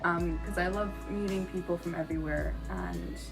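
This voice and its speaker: A woman's voice: lowish voice, very animated, moderate pace, over music. A young woman, a co-host and art history student, chatting casually with fillers and giggles. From United States. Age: young adult. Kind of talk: casual chat. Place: indoors, a room with no light on.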